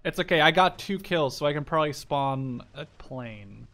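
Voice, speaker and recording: A young man chatting casually and excitably with a teammate as he plays a game, swearing freely. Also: on the high side, normal speed. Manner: excitable, profane banter. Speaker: gamer playing in a squad. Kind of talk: casual gaming chat.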